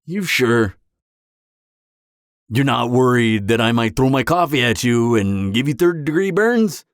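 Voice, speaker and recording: A man's voice: medium pitch, lively intonation, unhurried, no echo, studio quality, natural voice. A man playing an awkward, earnest, by-the-book supervillain on a date in an audio drama, speaking in a hesitant, stammering, matter-of-fact way. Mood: hesitant, insistent. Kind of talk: conversational roleplay audio drama.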